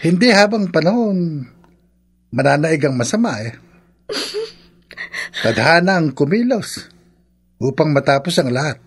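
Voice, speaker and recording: An actor playing a gentle, solemn father in a radio drama, with a husky voice. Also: a little high, expressive, unhurried, long pauses, exaggerated voice. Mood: consoling, solemn. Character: gentle consoling middle-aged father, solemn and devout. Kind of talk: melodramatic radio drama acting.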